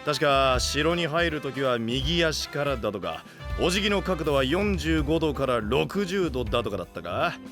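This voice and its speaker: A man playing a gruff, ambitious knight boss with a soft heart, speaking in brash delinquent style in an anime voice drama. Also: mid-range voice, animated, moderate pace, few pauses, natural voice. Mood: wry preview. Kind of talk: Japanese anime voice drama acting. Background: background music.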